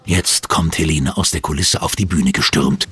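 A man narrating a scripted audio drama with expressive storytelling. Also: extremely low pitch, moderate pace, slightly rough.

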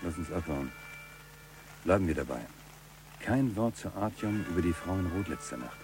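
A cold businesslike crook, low voice, radio play with soft music behind. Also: male voice, expressive, moderate pace, rough recording. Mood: decisive. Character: cold-blooded, businesslike male gangster. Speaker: actor in a radio crime drama. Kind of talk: German radio play dialogue.

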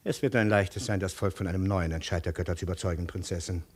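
An actor playing an ambitious, calculating imperial chancellor who fawns and insinuates in measured speech, in 1950s radio drama style. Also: male voice, low-pitched, steady tone, darkish tone, breathy edge. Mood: persuasive, evasive. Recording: 1950s radio studio recording.

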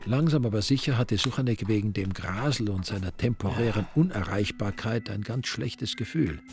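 An actor narrating a radio crime drama in a dry, ironic, literary way. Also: male voice, on the low side, normal speed, a little mellow, close to an everyday voice. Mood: dry, wry. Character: wry male narrator of a village crime story. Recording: music behind the voice.

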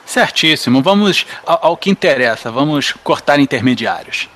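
Homem brasileiro de voz bem aguda. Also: male voice, a little high, expressive, normal speed, a bit bright. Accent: Brazilian. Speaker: podcast member and audio drama creator. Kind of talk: casual, slangy chat.

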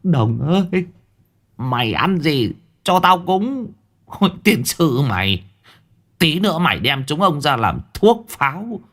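A man voicing a weak old man who mutters slow curses at a friend. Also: a little high, sing-song, a bit bright, voice pushed up, heavily acted. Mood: grumbling. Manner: feeble, drawling grumble.

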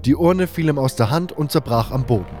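A man's voice: medium pitch, lively intonation, fairly quick, a little mellow. A man reading scripted first-person narration for a fantasy audio drama. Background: faint music.

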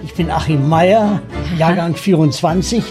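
A man's voice: on the high side, measured pace, music in the background, natural voice. An actor playing a courteous man in his eighties, formal and courtly, in a naturalistic radio drama. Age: 80s.

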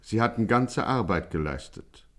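Wry storyteller, low register, scripted and drily ironic, 1950s radio play narration. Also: male voice, lively intonation, normal speed, fairly bright tone. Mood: dryly ironic. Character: wry male narrator of a gangster satire.

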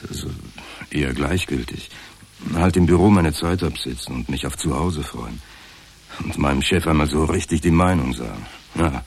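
An anxious, beaten-down office clerk in a radio play. The voice is extremely low and rough-edged, and he picks his way hesitantly through self-pitying sentences. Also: moderate pace, full character voice. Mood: hesitant, aggrieved. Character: insecure, downtrodden male insurance clerk, anxious and hesitant. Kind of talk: naturalistic radio drama acting.

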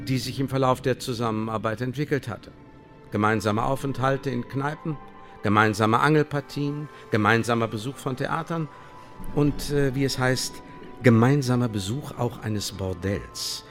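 An actor playing a coolly bureaucratic secret service officer in a radio play, speaking in measured, formal officialese. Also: male voice, medium pitch, lightly acted. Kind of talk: radio drama, dictated official report.

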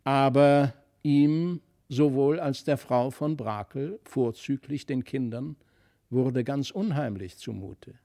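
A man narrating a scripted audiobook as an expressive, dramatic storyteller. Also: mid-range voice, takes it slowly, no room sound, clean studio sound.

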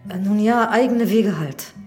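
A woman's voice: medium pitch, unhurried, a little mellow, lightly acted. Radio drama housekeeper female actor: guarded widow in service, respectful yet evasive, music underneath. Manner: deferential, evasive, hesitant. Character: guarded, deferential widowed housekeeper of a village parson. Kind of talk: German radio drama acting.

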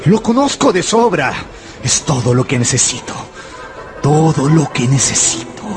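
An actor playing an obsessive, penniless young gambler who narrates a radio drama in a brooding, confessional manner. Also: male voice, a little high, full character voice. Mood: feverish determination.

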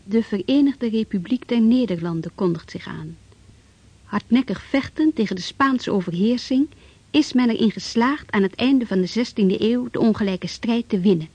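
A woman narrating a historical radio drama in a grave, measured way. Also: fairly high pitch, a little mellow, dry recording. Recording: dry, dull lo-fi radio recording with music.

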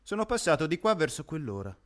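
Halting, deadpan newspaper seller. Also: male voice, fairly high pitch. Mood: vague, plodding. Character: plodding, vague male newspaper seller making small talk. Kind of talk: absurdist radio drama dialogue.

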